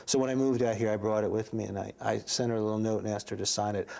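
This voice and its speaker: A man's voice: on the low side, steady tone, rapid-fire, creaky, darkish tone. A man chatting casually as an interviewer, with a playful, teasing manner.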